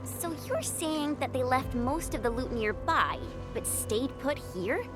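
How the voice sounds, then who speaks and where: A woman's voice: high voice, animated, a little slow. A woman voicing a casual, upbeat young operative in video game story dialogue. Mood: casual, curious. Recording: music behind the voice.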